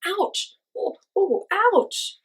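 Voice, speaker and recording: A woman voicing an excitable, dramatic male coyote in a children's story, exclaiming and muttering. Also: very high-pitched, very slow, pitched above the performer's own voice, heavily acted. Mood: grumbling.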